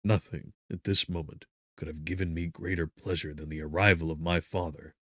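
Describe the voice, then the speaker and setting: A man's voice: sits low, level tone, a little fast, dry recording, clean studio sound. A man narrating a gothic audiobook in a solemn, theatrical manner. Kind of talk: dramatic scripted narration of gothic novel.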